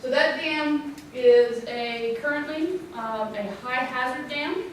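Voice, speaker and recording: A woman with a loud, clear voice presenting a water infrastructure plan at a public community meeting. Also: sits high, slow pace. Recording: echoey room, distant mic, background noise.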